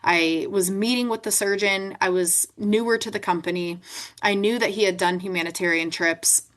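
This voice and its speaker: A woman's voice: medium pitch, steady tone, normal speed, fairly bright tone. A woman in her twenties, a podcast guest telling her story in a chatty way, often adding "right?". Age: 20s. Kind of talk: interview conversation.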